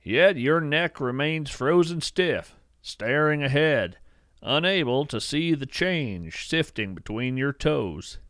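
Man acting as folksy wonder-struck naturalist, podcast storyteller, lively intonation, measured lyrical phrasing, earnest, dry close studio sound. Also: a little high, dry recording. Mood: solemn, lyrical. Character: folksy, wonder-struck male naturalist hosting a show about cryptids. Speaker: podcast host. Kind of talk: scripted storytelling narration in audio fiction.

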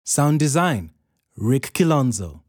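A woman's voice: extremely low pitch, big pitch swings, slow, darkish tone, no room sound, studio quality. A woman reading scripted credits as an announcer.

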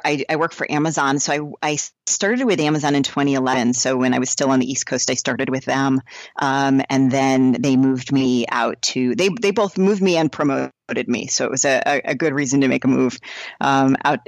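A woman's voice: rumbling low, steady tone, moderate pace, dry recording. A woman in her forties from New Hampshire with an American accent, speaking as a guest in a casual, enthusiastic and emphatic conversational style. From New Hampshire, United States. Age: 40s. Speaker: podcast guest, amateur runner.

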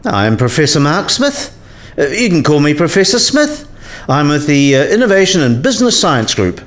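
An actor playing an eccentric, stiffly formal professor in a radio play, self-important and unflappable, with hesitant pauses. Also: male voice, on the high side, very animated.

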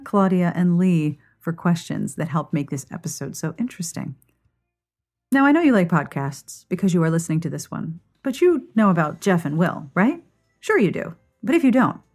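A woman's voice: fairly low pitch, a little mellow. A woman hosting a podcast, reading scripted intros and sponsor messages. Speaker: podcast host. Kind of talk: scripted podcast narration and ad reads.

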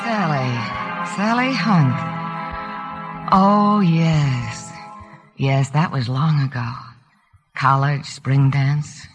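A woman acting a headstrong, wealthy young heiress in an old radio drama, brisk, self-assured and forward. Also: low voice. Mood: wistful reminiscing. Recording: old radio broadcast with music.

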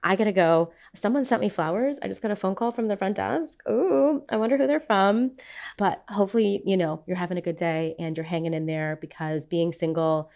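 A woman's voice: mid-range voice, fairly quick, vocal fry, darkish tone, lo-fi sound. A young woman talking casually in a voicemail-style monologue, chatty and emphatic, with slangy, profane phrasing. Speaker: podcast host.

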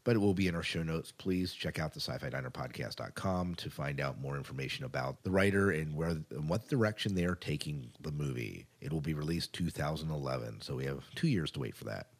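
A man's voice: extremely low pitch, lively intonation, a little fast, few pauses, husky. A man co-hosting a podcast, giving entertainment news in a casual, conversational way with informal asides. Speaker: podcast co-host.

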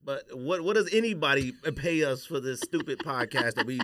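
A man's voice: fairly high pitch, a bit bright, no echo. A man hosting a podcast with casual, teasing banter about sneakers, speaking into a studio microphone. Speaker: podcast host.

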